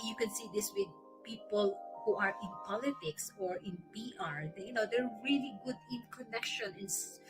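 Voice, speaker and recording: A woman with a Filipino accent hosting a weekly inspirational talk segment, explaining ideas gently like a teacher with soft laughs. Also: fairly high pitch, poor audio. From Philippines. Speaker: host.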